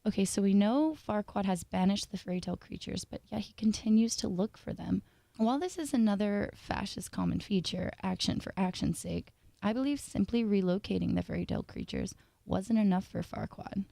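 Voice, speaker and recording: A woman reading a scripted analytical video essay in a measured, formal way. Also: medium pitch, creaky, mellow, no echo.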